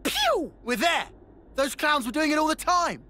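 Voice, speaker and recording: A man's voice: very high-pitched, wildly swooping pitch, measured pace, pushed and bright, full character voice. A man voicing a brash, easygoing young soldier who talks bluntly in casual slang. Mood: excited. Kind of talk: video game cutscene acting.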